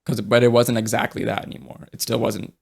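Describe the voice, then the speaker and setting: A young man in casual podcast conversation, talking in a relaxed, rambling way with many fillers and restarts. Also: medium pitch, a little fast, vocal fry.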